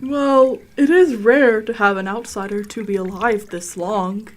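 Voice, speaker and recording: A woman's voice: mid-range voice, measured pace, lightly acted. A woman playing an earnest, welcoming alien guide who explains her people's ways in a comedic radio drama. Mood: eager. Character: welcoming, easily tempted female alien guide of a water-cult people.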